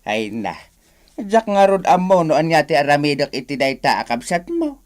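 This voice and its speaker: A man's voice: on the high side, big pitch swings, normal speed. An actor playing a weary, complaining elderly father in a radio drama, grumbling as he talks.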